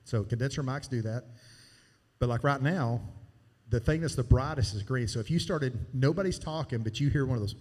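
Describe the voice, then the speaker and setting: A man walks a church team through its sound board in a loose, folksy way, explaining as he works the controls. His voice is mellow and dark, and it carries a long reverb from a live church sanctuary. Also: mid-range voice, fairly quick. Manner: casual, folksy, talks while demonstrating. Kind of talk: hands-on sound board training.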